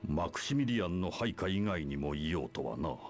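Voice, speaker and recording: An imperious, scheming leader of a church, deep and sweeping in pitch. He speaks in grandiose, archaic formal phrases, as in a dramatic video game scene, with music underneath. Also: animated, fast. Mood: haughty. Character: imperious, scheming male church leader preaching his doctrine. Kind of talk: Japanese video game voice acting.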